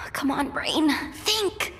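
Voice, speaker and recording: High-pitched, jittery young tech tinkerer. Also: female voice, drawn-out words, heavily acted. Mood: anxious. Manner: nervous, expressive muttering to herself. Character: young female tech tinkerer, anxious, chatty, self-deprecating. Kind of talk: anime game voice acting.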